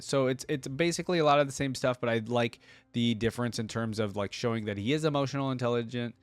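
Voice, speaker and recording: A man's voice: on the low side, dry recording. A man hosting a reaction video with casual, conversational commentary.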